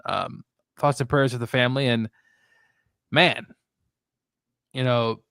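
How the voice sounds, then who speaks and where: A man co-hosting a casual sports chat, talking in a reflective, halting, conversational way. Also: medium pitch, fairly even intonation, vocal fry, fairly bright tone, no room sound, studio quality. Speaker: sports show co-host.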